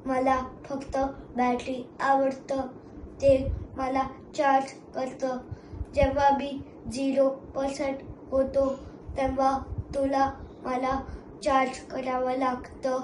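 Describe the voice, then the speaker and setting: A strict, studious robot companion in an amateur stage play, acted out by a young performer. The words come slowly, one by one, in a high voice, in an echoey room. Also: monotone. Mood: matter-of-fact. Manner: halting, word-by-word robotic delivery. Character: earnest robot friend, slow, studious, strict. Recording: echoey room with faint noise.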